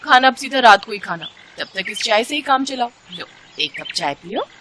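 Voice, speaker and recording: A woman's voice: a little high, very animated, moderate pace, pushed and bright, a little hiss or hum. A woman voicing a cheeky, enterprising village wife who sells tea, with a theatrical way of talking, in a bedtime story. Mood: sassy. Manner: sassy, exclaiming, theatrical. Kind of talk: acted dialogue in a bedtime story.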